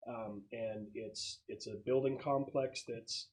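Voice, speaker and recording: A man's voice: medium pitch, fairly even intonation, slow pace, darkish tone. A man acting as game master, explaining the scene to players in a casual, conversational way.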